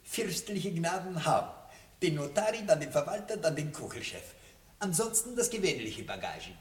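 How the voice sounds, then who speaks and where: An actor plays a formal, deferential household steward in an old radio play. His voice rises and falls lively, heard from far off the mic in an echoey room. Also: medium pitch, normal speed, close to an everyday voice. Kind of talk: 1960s radio drama acting. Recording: distant, echoey.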